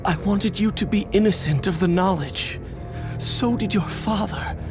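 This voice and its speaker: A woman voicing a sorrowful, dignified fantasy game character with a creaky voice and solemn manner. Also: mid-range voice, a little slow, music in the background, close to an everyday voice. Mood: remorseful.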